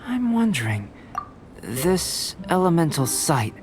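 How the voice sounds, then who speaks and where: An actor playing a calm, polite young male alchemist and researcher in a fantasy game, speaking in a measured, formal, detached way. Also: on the high side. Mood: intrigued, composed. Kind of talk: scripted fantasy game dialogue. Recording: game music behind the voice.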